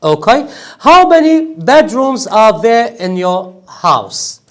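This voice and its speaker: Lively high-voiced male trainer. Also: takes it slowly. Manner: emphatic, animated, joking with students. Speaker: English test trainer. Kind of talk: classroom lecture.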